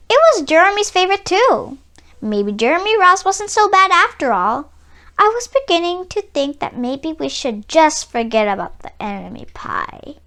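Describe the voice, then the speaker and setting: A woman narrates a children's story in a high-pitched voice. Her delivery is animated and expressive, with wide swings in intonation and dramatic pauses for emphasis. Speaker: children's book read-aloud narrator.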